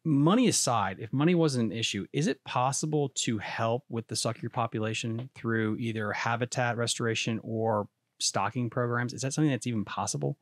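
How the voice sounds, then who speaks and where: A man's voice: mid-range voice, fairly quick, hardly any pauses, dry recording. A man in his thirties hosting a podcast, asking rambling, chatty questions. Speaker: podcast host. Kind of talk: casual interview questions. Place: indoor podcast studio. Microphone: boom-arm studio mic.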